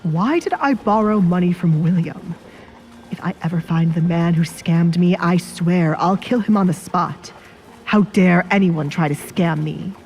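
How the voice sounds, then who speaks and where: A woman's voice: on the low side, unhurried, darkish tone, slight background noise. An anxious male baron from an audio drama, voiced by a woman. He sounds agitated and indignant, full of resentment. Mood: angry regret.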